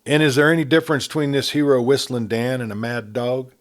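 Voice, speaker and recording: A man voicing a sarcastic, menacing outlaw gang leader in a Western story reading, talking in rough frontier speech. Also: mid-range voice, steady tone, fairly quick, voice pushed up, close to an everyday voice. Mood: commanding. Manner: taunting, commanding, rough frontier talk.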